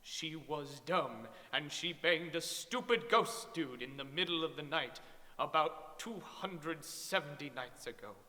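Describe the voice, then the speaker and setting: A man giving a mock-serious dramatic reading of a comic story, theatrical, with exaggerated pauses and swells. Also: fairly high pitch, animated, sharp tone. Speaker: story reader. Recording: reverberant hall.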